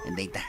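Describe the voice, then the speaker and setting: An actor playing a sly, lovesick village man with a Filipino Ilocano accent, pushy and pleading, drawling and hesitating in an audio drama. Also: heavy background noise.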